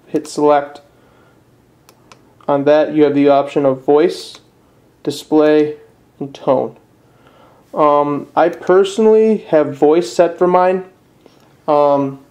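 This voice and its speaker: A man giving a casual step-by-step product tutorial in a plain, unpolished, slightly halting way. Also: a little high, mellow. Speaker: presenter of a how-to video.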